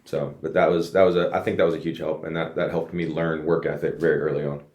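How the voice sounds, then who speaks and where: A man's voice: rumbling low, little pitch movement, quick pace, a little mellow, dull audio. A man raised in the United States, a race car driver, telling his story earnestly as a guest in a casual podcast interview. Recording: roomy echo.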